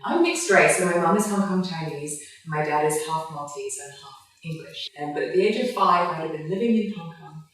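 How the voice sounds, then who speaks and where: A woman chats brightly as an interview guest. Her voice is fairly low. She sits away from the mic, and the room echoes heavily. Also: a little fast, hardly any pauses, faint music behind. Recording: distant, very echoey.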